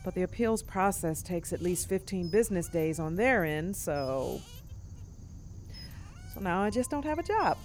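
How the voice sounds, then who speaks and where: A sardonic nervy woman in an audio drama, wry and halting, voice jumps around, bit of background noise. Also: fairly low pitch. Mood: rattled, wry. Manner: hesitant, wry, expressive. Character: anxious, sardonic gig-worker woman, freshly suspended from her job. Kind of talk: naturalistic audio drama acting.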